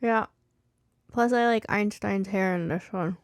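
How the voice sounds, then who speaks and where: A woman's voice: mid-range voice, a little slow, no room sound, clean studio sound, natural voice. A woman voicing a curious, bookish and stubborn young daughter in an audiobook story, earnest and a little hesitant. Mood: dreamy.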